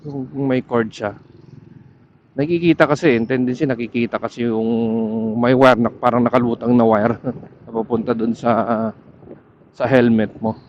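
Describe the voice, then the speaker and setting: A man with a Filipino accent vlogging casually while riding a motorcycle, pausing often to search for words, speaking into a helmet intercom mic. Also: medium pitch, little pitch movement, lo-fi sound, a little hiss or hum. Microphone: helmet intercom headset.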